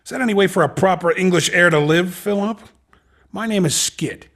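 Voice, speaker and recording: Slick pushy race hustler for a video game, raspy and gravelly, kinda high voice, cocky sales pitch. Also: male voice, a little fast, fairly bright tone. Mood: slick, enticing. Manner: cocky, insinuating salesman patter. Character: slick, pushy underground hoverboard race recruiter, male hustler. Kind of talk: video game character dialogue.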